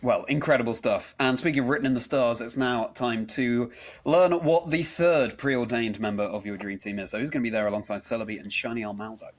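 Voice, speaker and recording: A man's voice: mid-range voice, fairly quick. A man hosting an interview, speaking in formal, eloquent phrases as he addresses his guest. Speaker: interview host.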